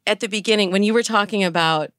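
A woman's voice: mid-range voice, fast talker, fairly bright tone, no echo, clean studio sound. A woman speaking as a guest dating coach in a podcast interview, explaining advice and acting out imagined inner thoughts as examples.

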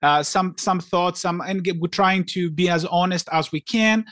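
A man from Greece co-hosting a podcast in casual chat, talking haltingly with many restarts and fillers. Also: high voice, a bit bright, no room sound, clean studio sound. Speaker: podcast co-host.

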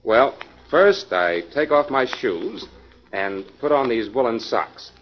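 An actor playing an obliging, slightly fussy department store window decorator in a 1940s radio drama, explaining politely. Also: male voice, mid-range voice, very animated, slow. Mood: polite, busy. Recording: dull, lo-fi 1940s radio recording.

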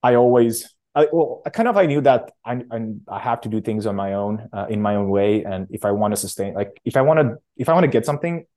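A man in a fairly low voice. He talks casually and haltingly, circling back and restarting his sentences as he thinks aloud. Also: animated, mellow. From Romania. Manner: halting, frequent self-restarts and fillers. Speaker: startup founder guest. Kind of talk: casual interview answers.

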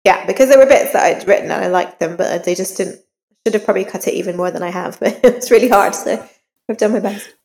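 A woman's voice: mid-range voice, fast talker, darkish tone, long reverb tail. A woman talking conversationally as a guest author in an interview.

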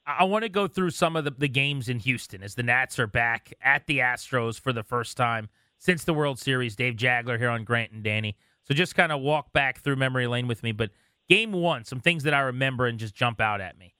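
Bright, forceful male sports radio host. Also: mid-range voice, a little fast, smooth, dry recording, studio quality. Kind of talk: conversational sports interview.

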